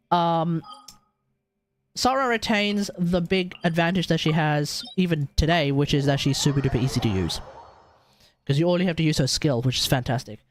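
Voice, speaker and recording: A man's voice: fairly high pitch, slight background noise. A man doing casual livestream game commentary, rambling and thinking aloud over game music. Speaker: game streamer. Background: video game music.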